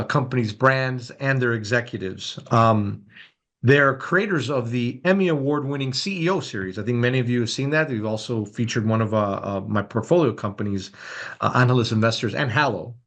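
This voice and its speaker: A man's voice: medium pitch, fairly bright tone. A man hosting a podcast, opening the show and welcoming a guest in an effusive way, repeating words for emphasis. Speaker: podcast host. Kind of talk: podcast intro and interview.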